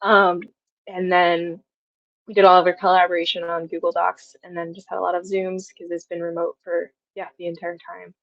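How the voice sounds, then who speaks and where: A woman's voice: lowish voice, little pitch movement, normal speed, gravelly, no echo, lo-fi sound. A woman in her twenties chatting casually as an interview guest, thinking aloud as she talks. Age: 20s. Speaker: guest, audio drama writer.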